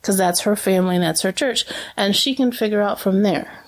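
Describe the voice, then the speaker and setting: A woman speaking as an interview guest, chatty and reflective, with frequent fillers and restarts. Her pitch sits at an ordinary middle height for a woman. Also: brisk. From Brazil, raised as a missionary kid. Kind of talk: casual reflective conversation.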